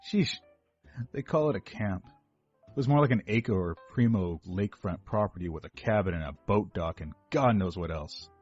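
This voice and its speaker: An actor playing an overweight eighteen-year-old rich kid giving a rambling, defensive first-person confession to police. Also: male voice, low voice, normal speed, a little mellow, breathy edge, lo-fi sound. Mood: rambling, confiding. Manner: rambling, self-correcting, defensive. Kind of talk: dramatic first-person monologue reading. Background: background music.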